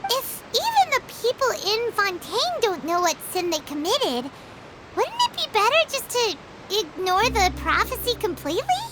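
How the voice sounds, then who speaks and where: A woman voicing a small, childlike, excitable fairy companion in a video game, with a squeaky, naive, inquisitive delivery. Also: normal speed, noisy background, exaggerated voice. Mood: puzzled. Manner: naive, inquisitive, refers to herself in third person. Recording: loud music under the voice.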